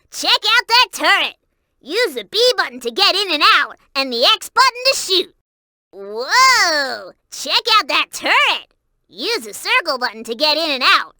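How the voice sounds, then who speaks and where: A woman voicing an excitable, cute young creature sidekick in a video game, childlike and wide-eyed, exclaiming and giving hints. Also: very high-pitched, no room sound, studio quality, exaggerated voice. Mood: excited, then eager instructing.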